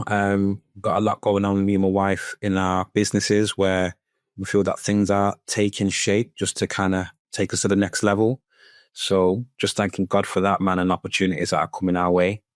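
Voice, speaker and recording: Low, flat-voiced Mancunian man. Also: dry recording, studio quality. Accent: Manchester. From Manchester, England. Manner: relaxed and chatty, often tags "man". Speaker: podcast guest, business owner. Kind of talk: casual conversational interview.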